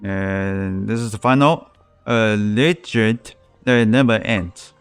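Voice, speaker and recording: Clear-voiced man streaming a game. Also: on the low side, takes it slowly, no room sound, soft background music. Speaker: live streamer host. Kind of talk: casual game let's play commentary.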